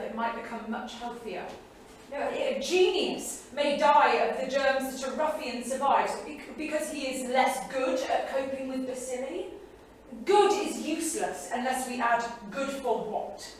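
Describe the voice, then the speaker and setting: An actress plays a forthright, restless 1930s woman in a stage drama. Her high voice is emphatic and insistent. It is picked up from a distance in a very echoey space. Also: measured pace. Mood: pointed. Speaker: stage actor in a staged reading. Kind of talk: theatrical reading. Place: small theatre studio. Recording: very echoey theatre, distant mic, faint noise.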